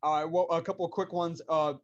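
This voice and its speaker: An American man hosts a podcast interview in a casual, conversational style. He talks quickly in a somewhat high male voice. The recording is dry and a little dull. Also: little pitch movement, no echo. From San Diego, United States.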